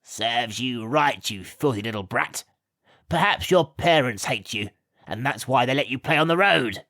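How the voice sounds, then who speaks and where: A man's voice: mid-range voice, big pitch swings, measured pace, bright, forceful tone, dry recording, studio quality, pitched above the performer's own voice. A man voicing a small, spiteful manservant who sneers and snarls. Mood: sneering. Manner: sneering, rude.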